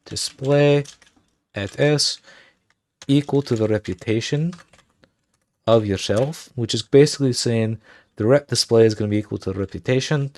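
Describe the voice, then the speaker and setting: Streamer, male, low dry voice, put-on Irish accent, chatty tutorial read while typing. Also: no echo. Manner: thinks aloud, muttering commands while typing. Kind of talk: casual game livestream tutorial.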